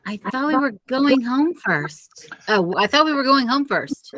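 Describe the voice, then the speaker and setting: A woman's voice: high voice, animated, a little slow, fairly bright tone, full character voice, rough recording. Woman playing a reluctant, homesick father. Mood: uneasy, protesting. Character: reluctant, homesick father among a band of men. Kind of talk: children's storybook character reading.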